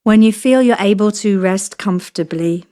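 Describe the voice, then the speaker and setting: A woman yoga nidra teacher leading a guided relaxation meditation in a soothing, measured way, leaving long pauses between short phrases. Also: medium pitch, warm tone.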